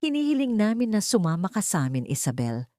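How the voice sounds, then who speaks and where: A woman voicing a serious male police officer in an audio story, calm, firm and official. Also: medium pitch, fast, no room sound, studio quality, pitched above the performer's own voice, lightly acted. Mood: calm, determined.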